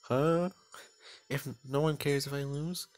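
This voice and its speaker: Man playing a tearful anime little sister, pitched up, whiny, sensitive, music behind. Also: fairly even intonation, takes it slowly.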